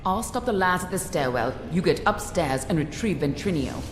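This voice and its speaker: An actress playing a brisk, commanding female fighter ally in a video game, heard with long reverb. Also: fairly low pitch, animated, background noise, natural voice. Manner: brisk, instructing. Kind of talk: video game character acting. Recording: echoey hall with long reverb.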